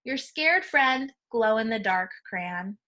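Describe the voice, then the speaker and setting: A woman's voice: on the high side, lively intonation, slow, sharp tone, full character voice. A woman voicing a frightened crayon in a children's storybook, pleading with and chiding a friend. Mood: reproachful, scared. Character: frightened glow-in-the-dark crayon, pleading and reproachful.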